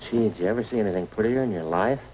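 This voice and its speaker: A male actor plays a mild, curious police detective in 1950s radio drama. His lowish voice is casual and folksy but quick, with faint noise from the old recording. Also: a little mellow, close to an everyday voice, poor audio. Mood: curious, amazed. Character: affable, curious male police detective partner, easily fascinated.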